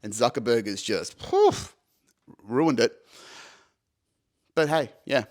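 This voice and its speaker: A man's voice: medium pitch, expressive, takes it slowly, darkish tone. Man, 30s, solo podcast host: casual, rambling, profane, self-mocking, mid-range voice.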